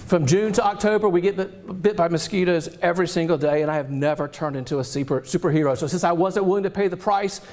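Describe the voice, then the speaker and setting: A man with a Southern US accent giving an energetic, animated motivational keynote on a conference stage, joking with the audience. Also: high voice, quick pace, few pauses. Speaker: keynote speaker. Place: conference hall, speaking on stage.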